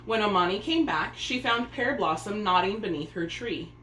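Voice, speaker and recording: A woman's voice: medium pitch, animated, normal speed, a bit bright. A woman reading a children's story aloud, narrating expressively in a room with echo and faint noise. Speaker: storybook reader.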